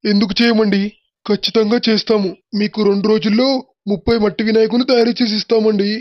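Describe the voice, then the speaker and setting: A man's voice: sits high, little pitch movement, fast, dry recording, natural voice. A man playing a humble, grateful poor village husband and father in a moral story cartoon. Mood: humble, eager. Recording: dull, muffled track with music. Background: background music.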